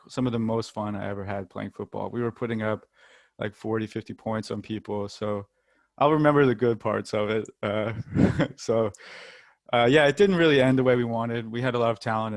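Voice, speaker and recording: Relaxed young American man, dry sound. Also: fairly low pitch, no room sound. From United States. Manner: relaxed, lots of ums, light laughs. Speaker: football player, interview guest. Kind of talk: casual interview.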